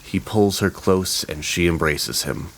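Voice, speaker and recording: A very low male storyteller voiced by an actor narrates a fantasy tale in measured, descriptive phrases. A faint wash of rainy ambience sits behind the scripted narration. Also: low-quality recording. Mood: calm, descriptive. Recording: rain ambience noise behind the voice. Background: rain ambience.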